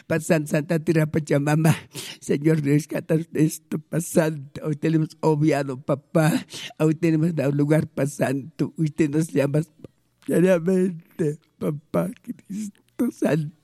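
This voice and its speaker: Pleading Peruvian pastor, dark dry voice. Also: male voice, a little high, no room sound. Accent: Peruvian Spanish. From Peru. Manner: pleading, repetitive invocations. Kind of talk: fervent spoken prayer.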